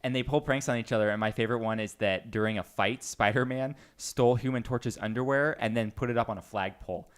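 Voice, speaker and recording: A man hosting a casual group podcast, chatting in an animated, jokey way. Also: mid-range voice, fast, hardly any pauses, smooth. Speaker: podcast host.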